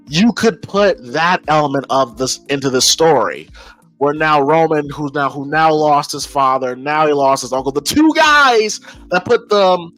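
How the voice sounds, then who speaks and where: Higher-pitched American man over music. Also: fairly bright tone. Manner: informal, emphatic, repeats phrases as he thinks aloud. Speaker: wrestling podcast host. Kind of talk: casual opinion commentary.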